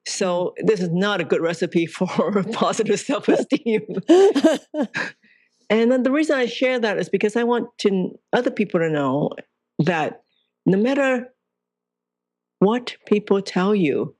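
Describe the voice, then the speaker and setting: A woman's voice: mid-range voice, animated, unhurried, long pauses, darkish tone, no echo, studio quality. A woman from Hong Kong, a guest author in an interview, speaking in a reflective, earnest way and laughing lightly now and then. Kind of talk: interview conversation.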